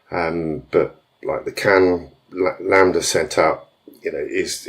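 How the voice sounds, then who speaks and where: Male stream host, deep voice, casual and rambling, hesitant delivery. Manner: rambling, hesitant, many fillers and restarts. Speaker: tuning shop host answering viewer questions.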